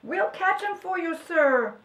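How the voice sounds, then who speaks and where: A woman voicing eager, excitable temple helpers in a storybook, exclaiming loudly and urgently. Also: lowish voice, slow, reverberant, recorded from a distance, voice pushed down, heavily acted. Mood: excited. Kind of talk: storybook character voice.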